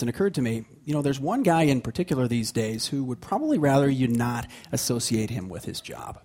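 A man's voice: mid-range voice, darkish tone. A male radio host speaking in a wry, conversational scripted style. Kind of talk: scripted radio hosting with songs.

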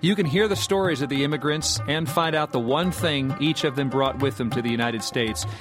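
A man reads a scripted radio outro smoothly and evenly at a middle pitch. Music plays clearly beneath his voice. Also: brisk. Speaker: radio host.

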